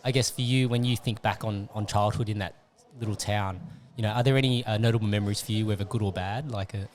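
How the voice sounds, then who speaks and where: Guy, quick talker, rambling, full of fillers, podcast host. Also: fairly low pitch, fairly even intonation. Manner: rambling questions with many fillers. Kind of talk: casual interview chat.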